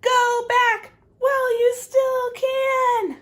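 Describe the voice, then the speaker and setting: A woman's voice: very high-pitched, level tone, drawn-out words, a bit bright, pitched above the performer's own voice, exaggerated voice. A woman voicing a bossy, urgent rabbit friend from a children's storybook, with emphatic delivery. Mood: urgent warning. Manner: emphatic, warning. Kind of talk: animated children's read-aloud.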